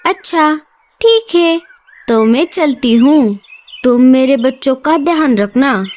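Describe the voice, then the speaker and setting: A woman's voice: high voice, lively intonation, slow pace, darkish tone. A woman voicing a devoted, anxious mother bird who speaks earnestly in an animal cartoon story. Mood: agreeable.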